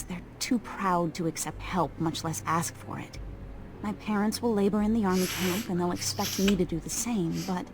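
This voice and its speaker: An actress voicing an earnest, humble young elf daughter in a fantasy video game. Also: female voice, on the low side, natural voice. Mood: apologetic, uneasy. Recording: clear background noise.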